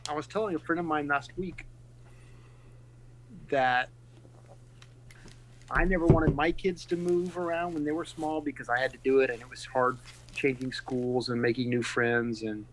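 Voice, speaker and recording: A middle-aged man from California and Texas, a pastor speaking earnestly and reflectively in a casual podcast interview, pausing often and restarting his sentences. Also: medium pitch, fairly even intonation, rough recording. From California and Texas, USA.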